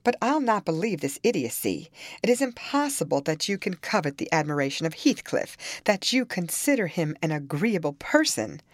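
A woman's voice: fairly low pitch, very animated, moderate pace, no echo, clean studio sound, pitched above the performer's own voice. Woman playing a haughty, emphatic young married lady. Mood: indignant surprise. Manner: emphatic, scolding. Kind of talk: dramatised audiobook reading of a classic novel.

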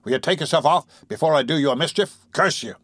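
A man's voice: on the high side, quick pace, fairly bright tone, no room sound, studio quality, voice pushed up. Man doing a spiteful, sneering old hunchback. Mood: indignant rage. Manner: sneering, menacing, indignant. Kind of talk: audiobook character voice.

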